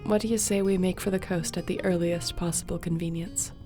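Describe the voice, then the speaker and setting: A woman playing a devoted sorceress and court mage who speaks softly and measuredly. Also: fairly low pitch, fairly even intonation, soft background music, lightly acted. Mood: tender. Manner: soft, measured, laughs easily. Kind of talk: audio roleplay acting.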